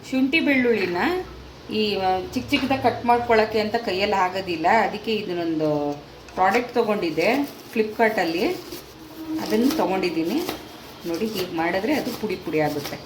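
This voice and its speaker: A woman talks viewers through each step of a recipe in a casual, homely way. Her voice sits at a middle pitch. It echoes around the kitchen, and the sizzle of frying comes through clearly beneath it. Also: fairly quick, pauses often, a little hiss or hum. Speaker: cooking channel host. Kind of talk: casual cooking demonstration. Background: frying and sizzling from the pan.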